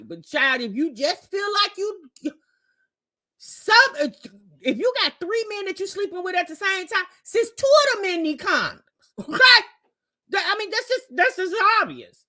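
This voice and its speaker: Animated high-voiced woman giving opinions. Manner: emphatic, colloquial, checks with rhetorical questions. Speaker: reaction video host. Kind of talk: casual opinionated commentary.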